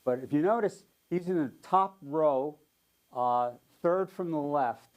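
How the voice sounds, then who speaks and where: A man's voice: a little high, a little slow. A man in his seventies with a New York accent, giving a friendly, chatty talk as a guest speaker. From New York area. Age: 70s. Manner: friendly, chatty, frequent ums and light laughs. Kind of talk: casual public talk.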